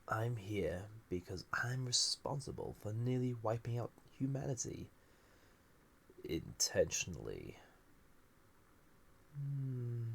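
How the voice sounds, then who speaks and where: A man playing an ancient banished villain, a magical being, in an intimate audio roleplay, musing theatrically with a gravelly, husky, breathy voice. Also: fairly low pitch, full character voice. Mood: grave, menacing. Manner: musing, theatrical, drifting into breathy near-whispers. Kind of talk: scripted audio roleplay, intimate monologue to listener.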